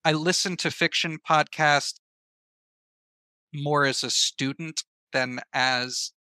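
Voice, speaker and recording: A man's voice: a little high, steady tone, takes it slowly, sharp tone, clear, resonant tone, no echo. A man speaking casually as an interview guest, sharing advice and experience in a conversational way. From American Southeast. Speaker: podcast guest, writer and filmmaker.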